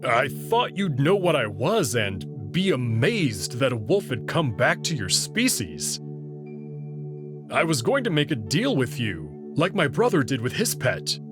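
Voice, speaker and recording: A guarded young wolf prince from a sci-fi game, acted out by a man. He explains himself slowly and earnestly, with reluctant pride. Music sits clearly behind his words. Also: medium pitch, very animated. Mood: defensive, regretful.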